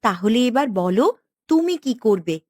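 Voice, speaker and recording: A loyal, confident young village woman from a cartoon acted by a woman. She is reassuring and decisive, with a highish, very animated voice in a dry recording. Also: measured pace, dry recording. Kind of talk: Bengali cartoon dialogue.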